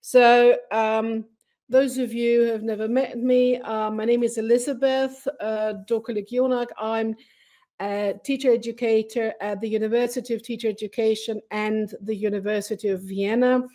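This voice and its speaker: A woman's voice: a little high, little pitch movement, moderate pace, mellow. A middle-aged woman, a teacher educator, presenting a webinar from her computer mic in a friendly, explanatory way with fillers. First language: English.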